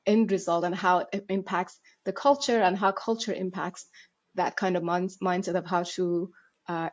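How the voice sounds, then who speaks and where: A woman's voice: lowish voice, muffled recording. Woman telling her story. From Iran. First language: Persian. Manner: animated storyteller, laughs often at herself. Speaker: guest. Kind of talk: podcast interview.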